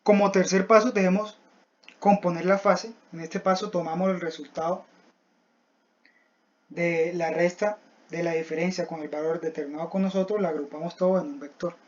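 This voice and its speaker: A young adult student giving a scripted technical presentation in a halting, didactic, step-by-step manner. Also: mid-range voice, fairly even intonation. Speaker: student presenting a class project. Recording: dull, lo-fi voice recording.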